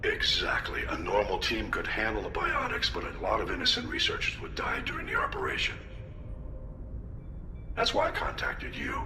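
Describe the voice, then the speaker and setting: A man playing a grave, courteous fleet admiral in a video game, giving formal, measured military briefings. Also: on the low side, wildly swooping pitch, noisy background. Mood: grave, urgent. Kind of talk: video game dialogue acting. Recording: echoey transmission, music behind.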